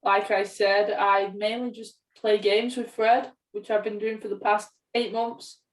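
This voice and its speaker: A woman's voice: medium pitch, flat delivery, measured pace. Young woman chatting, distant from the mic. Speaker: podcast co-host. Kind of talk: casual chat.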